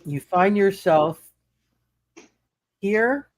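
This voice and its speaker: A man speaking casually as game master, setting scenes haltingly and repeating phrases for emphasis. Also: high voice, animated. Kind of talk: casual live tabletop game narration.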